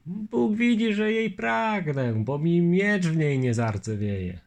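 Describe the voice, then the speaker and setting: An earnest, patient Lithuanian nobleman, voiced by a male audiobook reader. He speaks with old-fashioned courtesy, measured and slow. His voice is lifted a little above the reader's own. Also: high voice, very animated, vocal fry, a little mellow, voice pushed up, heavily acted. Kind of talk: audiobook character voice.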